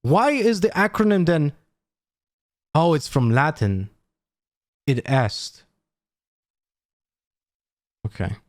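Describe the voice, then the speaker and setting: Lively man analyzing gameplay. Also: on the high side, slow, long pauses, no room sound, studio quality. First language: Polish. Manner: animated, swears freely, thinks aloud. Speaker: esports analyst streamer. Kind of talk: casual VOD breakdown.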